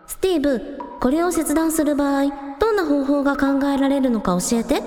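A woman's voice: sits high, brisk, darkish tone, natural voice. A woman playing a determined, plain-spoken young village idol heroine, casual and questioning, in a radio drama. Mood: curious, decisive. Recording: echoey hall reverb, faint music behind.

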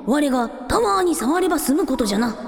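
A woman's voice: high voice, animated, fast, vocal fry. A woman playing a proud young dragon prince in a radio drama, with boastful, old-fashioned lordly phrasing. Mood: confident. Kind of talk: Japanese radio drama acting. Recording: echoey, long reverb, music underneath.

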